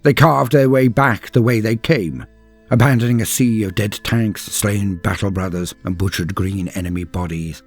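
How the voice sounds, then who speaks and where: A man delivering dramatic scripted lore narration in a grave, measured, theatrical storytelling manner. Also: fairly low pitch, slightly rough. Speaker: narrator of a fan lore video series. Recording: music behind the voice. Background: music.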